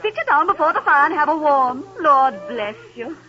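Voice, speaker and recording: A woman's voice: high-pitched, animated, moderate pace, pushed and bright. Motherly, fussing poor housewife, 1930s radio play. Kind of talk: 1930s radio drama acting. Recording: dull old radio broadcast.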